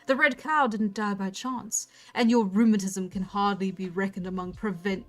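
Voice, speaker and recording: A woman's voice: mid-range voice, steady tone, moderate pace, lightly acted. A woman voicing a scornful young witchy wife in a storybook, haughty, mocking and threatening. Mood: mock menace. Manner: haughty, mock-malignant.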